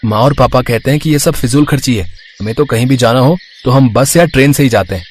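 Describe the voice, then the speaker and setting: A man's voice: medium pitch, brisk, slight background noise, close to an everyday voice. A man playing earnest family men in a cartoon story, in acted dialogue. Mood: matter-of-fact. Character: earnest husband, also indignant father of bride.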